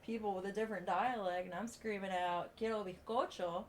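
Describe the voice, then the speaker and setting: An American woman chatting casually as she tells personal anecdotes in an animated way, with some swearing. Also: mid-range voice, fairly quick, slight background noise. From Florida, USA, of Puerto Rican background. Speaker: podcast guest.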